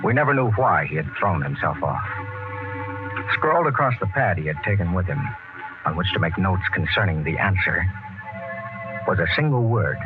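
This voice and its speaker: An actor playing a grave, level-headed expedition commander who narrates and speaks in a measured 1950s radio drama style. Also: male voice, low-pitched, lively intonation. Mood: somber narration. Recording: tinny vintage radio recording with music behind.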